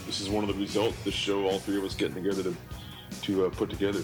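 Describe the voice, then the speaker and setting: A man's voice: low-pitched, level tone, rapid-fire, music in the background, rough recording. A man speaking as a podcast guest on an internet call, casual, agreeable and enthusiastic. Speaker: podcast host appearing as guest. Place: remote Skype call.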